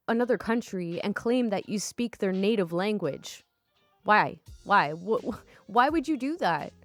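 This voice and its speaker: Young woman storyteller, chatty and laughing, mid-pitched, casual reading. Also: no room sound. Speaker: storytime YouTuber. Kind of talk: casual reading of online stories with commentary.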